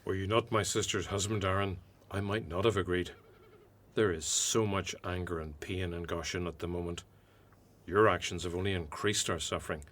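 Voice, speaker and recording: A stern Hebrew elder in a biblical radio play. His voice is very low. He speaks gravely, as one who has been wronged. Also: steady tone, moderate pace, a bit bright, a little hiss or hum. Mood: stern, reproachful. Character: Nahshon, stern, aggrieved Hebrew householder and elder. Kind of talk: radio Bible drama acting.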